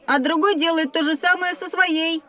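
A woman's voice: very high voice, moderate pace. A woman voicing an eager, inquisitive young boy, a professor's pupil in an educational audio drama. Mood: curious. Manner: eager, expressive.

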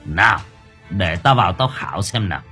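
A man's voice: low voice, expressive, normal speed, sharp tone, faint music behind. A man voicing a brash exorcist master who scolds, gloats and barks. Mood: mocking, gloating.

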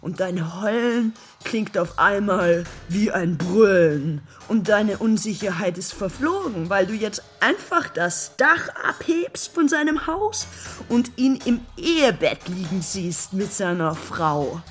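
A woman's voice: mid-range voice, lively intonation, slow, faint music behind. An actress playing a bitter, lovesick woman worn down by endless waiting, in an intense radio drama monologue. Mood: brooding, haunted. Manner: intense, brooding, building from hushed to agitated.

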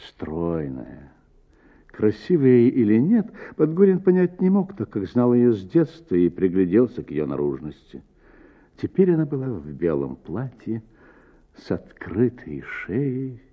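A man reading a literary story for radio as an actor, with theatrical, varied phrasing and deliberate pauses. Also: low voice. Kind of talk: expressive dramatic audiobook narration for radio. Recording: narrow-band, phone-like sound.

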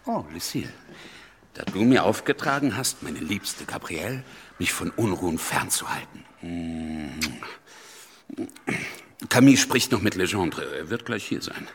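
Lively, teasing husband. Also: male voice, low-pitched, a little slow, slight background noise. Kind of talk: German radio drama acting.

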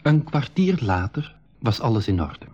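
A man narrating a school radio adventure play in a measured, explanatory way. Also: medium pitch, very animated, a little mellow, breathy edge. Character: composed male storyteller explaining an adventure to listeners. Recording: slightly dull 1980s radio recording.

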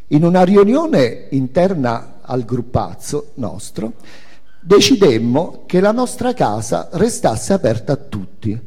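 An older man gives a theatrical reading of a memoir in a reverberant hall. His voice is mellow and mid-pitched, with wide, expressive swings in intonation. He shapes each phrase with deliberate pauses and emphasis. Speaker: author and performer reading own memoir on stage.

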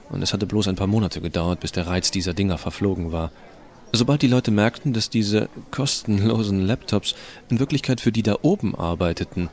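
A man's voice: lowish voice, close to an everyday voice. A man narrating as a sardonic, self-assured teenage hacker in a first-person audio drama, casual and wry. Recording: clearly audible hiss.

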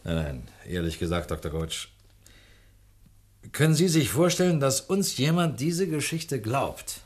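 An actor playing a sceptical, down-to-earth police inspector in a radio play, with a dry, dismissive manner. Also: male voice, mid-range voice, sing-song, measured pace. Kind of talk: German radio play acting.

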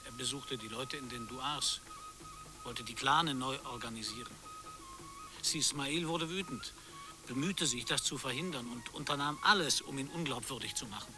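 An actor playing a man who quietly reports on events in a radio drama, with music behind him. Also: medium pitch, wildly swooping pitch, moderate pace. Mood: hushed, intent. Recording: quiet distant voice under music.

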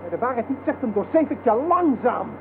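Give me a cynical, threatening soldier with a high, very animated voice in a noisy radio drama. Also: male voice, fairly quick, exaggerated voice. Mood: threatening, taunting. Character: menacing, cynical male soldier interrogating his superior. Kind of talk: radio play acting. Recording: noisy, thin phone-like old radio recording.

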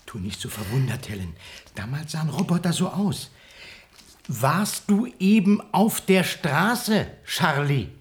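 Animated, insistent male explainer. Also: on the high side, a little slow. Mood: questioning, superior. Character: male time traveller, reasoning, superior, impatient explainer. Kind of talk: German radio play acting.

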